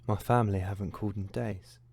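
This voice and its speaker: A man's voice: lowish voice, fairly even intonation, brisk, creaky, darkish tone, husky. A man playing a withdrawn, lonely person in a filmed diary monologue. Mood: low, isolated. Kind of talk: spoken diary monologue in a short film.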